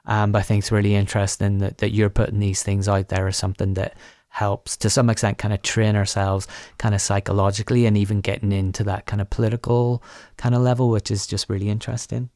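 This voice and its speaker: Male podcast interviewer, lower register, conversational and tentative, very dry close sound. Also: little pitch movement, quick pace, darkish tone, no room sound.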